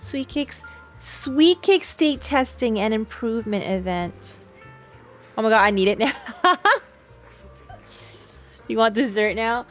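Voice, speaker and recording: A woman's voice: fairly high pitch, animated, slow pace, long pauses, soft background music. A woman streaming her casual, slangy and playful reactions to a game, sometimes reading its text aloud.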